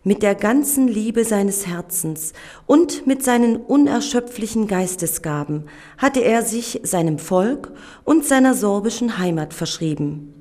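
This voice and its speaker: A woman narrating a documentary in a measured, solemn reading voice. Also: medium pitch, expressive, warm tone.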